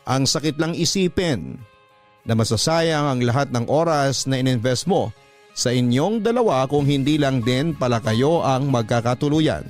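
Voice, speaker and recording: A man's voice: mid-range voice, normal speed. A man with a Filipino accent reading a love letter in a dramatic, sentimental radio storytelling style. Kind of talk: scripted narration, radio storytelling. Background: music under the voice.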